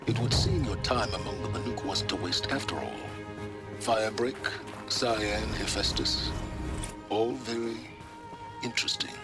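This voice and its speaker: A man playing a cool, enigmatic mentor in a video game, speaking in a dry, deliberate, erudite way. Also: on the low side, music in the background, close to an everyday voice. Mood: intrigued.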